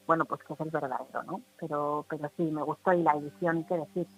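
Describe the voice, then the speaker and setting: A woman's voice: deep voice, fairly even intonation, fast talker, faint music behind, low-quality recording. A woman with a Spain Spanish accent chatting casually as a radio co-host. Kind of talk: casual chat.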